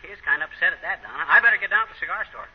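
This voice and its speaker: A man's voice: high-pitched, expressive, rapid-fire. A man playing a folksy, blustery, wisecracking husband in a 1930s radio comedy. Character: short, blustery, wisecracking husband, comic braggart. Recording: tinny, noisy 1939 radio recording.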